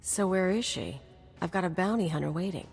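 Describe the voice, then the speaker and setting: A woman's voice: lowish voice, expressive, fairly quick, vocal fry, darkish tone, husky, exaggerated voice. A woman playing a brash, scheming, vindictive mercenary in a video game, with a cocky, sardonic, slangy delivery. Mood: furious. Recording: long reverb, music behind.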